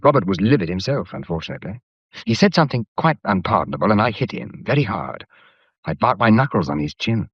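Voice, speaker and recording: An actor playing a wry, gallant gentleman in a radio drama, husky and understated, with an offhand, clipped way of talking. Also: on the low side, big pitch swings, quick pace, dry recording, highs cut off, heavily acted. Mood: wry, rueful.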